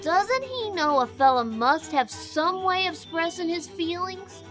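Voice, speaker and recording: Mischievous scrappy boy, very high sharp voice, blustering and defiant, storybook drama. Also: animated, measured pace, soft background music, exaggerated voice. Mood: indignant. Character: defiant, mischievous young boy, hot-tempered and bragging. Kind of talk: audio storybook character acting.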